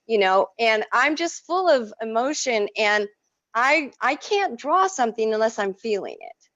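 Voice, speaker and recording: A woman whose first language is French, an artist telling stories in an effusive, expressive way as an interview guest. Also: fairly high pitch, unhurried, dry recording, highs cut off. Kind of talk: casual interview.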